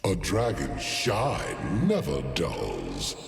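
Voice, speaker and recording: A man voicing a haughty, ancient celestial dragon god in a video game, pompous and theatrical, with drawn-out disdain. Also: rumbling low, huge pitch swings, vocal fry, exaggerated voice. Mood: self-satisfied. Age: older. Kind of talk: video game character voice lines. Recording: echoing hall reverb over music.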